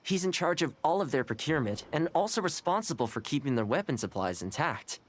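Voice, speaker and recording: Smooth young fixer, lively intonation. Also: male voice, fairly high pitch, fairly quick. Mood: composed, confiding. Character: smooth, easygoing young male fixer and negotiator. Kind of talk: video game character dialogue.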